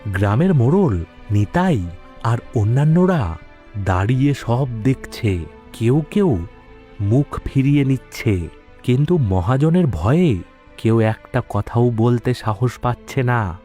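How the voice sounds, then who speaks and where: Mellow, expressive male story narrator. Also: medium pitch, takes it slowly. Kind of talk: dramatic scripted story narration. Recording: background music under the voice.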